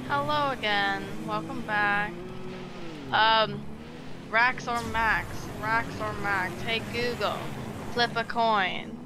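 A young woman chatting casually during a co-op game, blunt, slangy and quick to swear. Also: fairly high pitch, noisy background. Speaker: gamer in a streamed co-op session. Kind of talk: casual gaming chat. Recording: voice chat over loud game sounds. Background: game sound effects.

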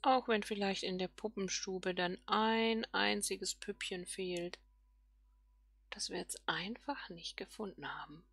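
Slow, breathy, murmuring woman gamer. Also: mid-range voice, steady tone, no room sound. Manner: soft, half-whispered musing to herself. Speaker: let's player. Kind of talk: casual murmured game commentary.

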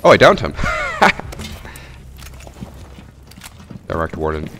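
A young man chatting casually while streaming a game from his home gaming room, relaxed and quick to laugh. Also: a bass voice, noisy background. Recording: game sounds and gunfire behind.

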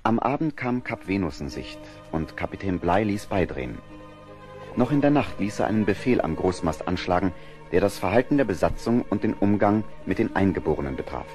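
A man's voice: low voice, big pitch swings, brisk, darkish tone, faint music behind. A man reading scripted narration for an audio drama.

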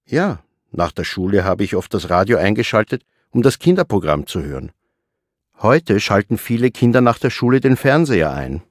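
A reflective fifties interviewee, lowish voice, steady delivery, dry studio, scripted lesson audio. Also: male voice, moderate pace, no room sound. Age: 50s. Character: fifty-two-year-old man being interviewed.

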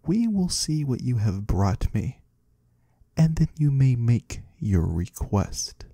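Man playing a hushed, husky void-dwelling trader. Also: medium pitch, sing-song, no room sound, studio quality, exaggerated voice. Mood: hushed, mysterious. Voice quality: husky, breathy, sometimes whispering. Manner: slow, hushed, gently curious. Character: mysterious, curious, courteous disembodied male trader of the void. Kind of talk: soft-spoken ASMR roleplay. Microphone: close, dry microphone.

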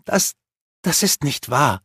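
Frightened elf maiden as a male reader puts her on, pleading and urgent, raised pitch, raspy edge. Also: lively intonation, normal speed, no echo, studio quality.

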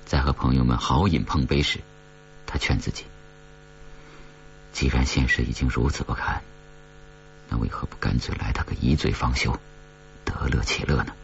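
A man reading an audiobook novel in a measured, dramatic storytelling style that sometimes grows hushed. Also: a bass voice, lo-fi sound, a little hiss or hum. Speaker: audiobook narrator. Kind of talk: dramatic scripted horror-novel narration.

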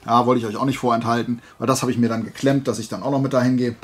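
A man's voice: mid-range voice, level tone, very fast. A man hosting a haul video, rambling casually to his viewers, often drifting off track and correcting himself. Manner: chatty, digressive, self-correcting. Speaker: flea market haul video host.

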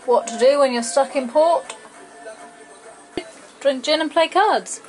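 A woman's voice: high-pitched, slow pace, faint music behind, low-quality recording. A woman in her thirties with an English accent, chatting and narrating casually as a sailing vlogger on a handheld camera microphone. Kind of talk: casual vlog chat and narration. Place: aboard a small sailing boat outdoors.

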